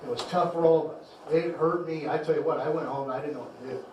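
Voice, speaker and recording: A man who coaches high school football, giving a heartfelt banquet speech, halting and repeating words as emotion catches him. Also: steady tone, muffled recording. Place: banquet hall. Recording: echoey hall, distant mic, faint noise.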